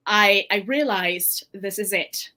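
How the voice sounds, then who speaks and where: A woman with a South African accent speaks with earnest openness and breaks into light laughter now and then. Her voice sits in a middling register. She talks like a guest on a relaxed interview. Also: medium pitch, slow. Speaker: author guest. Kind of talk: casual interview chat.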